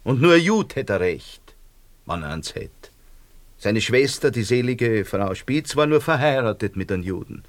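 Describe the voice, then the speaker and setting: An actor playing a nervous, self-justifying barber in a radio drama, rambling colloquially with a Viennese accent. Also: male voice, medium pitch, sing-song, normal speed, no room sound, natural voice. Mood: indignant. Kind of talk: naturalistic radio drama dialogue.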